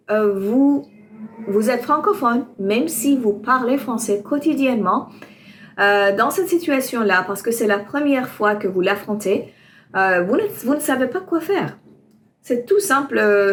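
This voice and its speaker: A woman teaching French exam preparation, talking to her viewers with frequent hesitations. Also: mid-range voice. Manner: hesitant, many ums, reassuring. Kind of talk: explaining to camera.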